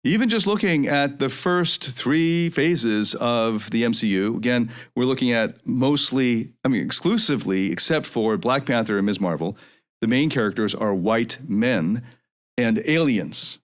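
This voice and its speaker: A man's voice: medium pitch, animated, measured pace. A man in his forties from North America hosting a podcast alone, speaking in a reflective, earnest way and often restarting as he thinks aloud. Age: 40s. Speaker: podcast host. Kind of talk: solo podcast monologue.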